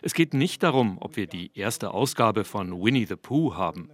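Lively man voicing a dubbed interview. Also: lowish voice, moderate pace. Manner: conversational, explanatory. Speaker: voice-over translator.

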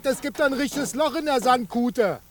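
An actor playing an impatient, grumbling village helper in a naturalistic German radio drama, colloquial and complaining. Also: male voice, very high-pitched, moderate pace, a little hiss or hum. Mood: impatient, worried.

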